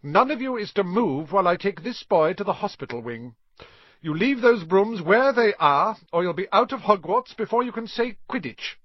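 A man voicing a brisk, bossy but caring woman teacher in a storybook, with commanding, clipped delivery. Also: sits high, very animated, dry recording, muffled recording, pitched above the performer's own voice. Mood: stern warning.

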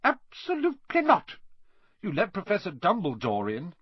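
Man as school matron, woman, audiobook, strict, kindly, brisk, high husky voice. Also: wildly swooping pitch, no echo, dull audio, full character voice. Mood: firm, fussy. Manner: firm, brisk, fussy. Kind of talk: audiobook character voice.

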